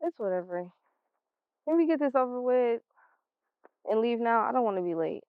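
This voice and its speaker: Sulky blunt american teen girl woman acting, defiant, highish voice, kinda dull recording. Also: lively intonation, moderate pace, dry recording. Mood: defiant, sullen. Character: defiant, sullen 16-year-old daughter. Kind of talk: stage play acting.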